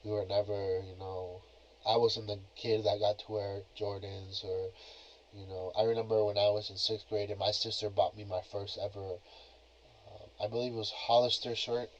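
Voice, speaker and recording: A man's voice: fairly low pitch, flat delivery, normal speed. A young man hosting a casual solo podcast, talking in a laid-back, rambling way full of filler phrases. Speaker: podcast host. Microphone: cheap plug-in computer microphone. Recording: dull lo-fi cheap mic.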